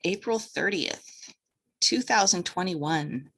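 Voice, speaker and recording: A woman guiding breathwork and meditation as an instructor, gently giving instructions in short phrases with pauses between them. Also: on the low side, vocal fry, slightly rough, poor audio.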